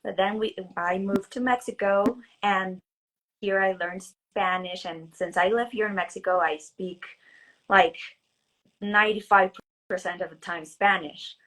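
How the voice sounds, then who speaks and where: A woman author from the United States answering interview questions in a hesitant, halting way with frequent restarts. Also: mid-range voice, fairly even intonation, fairly bright tone, rough recording. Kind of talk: casual interview chat.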